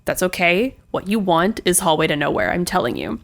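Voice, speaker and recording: A woman's voice: on the low side, very animated, a little fast, gravelly. Chatty woman hosting a podcast. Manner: informal, playful, conversational. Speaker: podcast host. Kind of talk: chatty scripted podcast recommendation.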